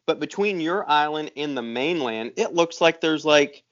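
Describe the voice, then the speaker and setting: A man's voice: fairly high pitch, lively intonation, normal speed, fairly bright tone. A man co-hosting a podcast, joking and ribbing his fellow host. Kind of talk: casual podcast banter. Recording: slightly muffled audio.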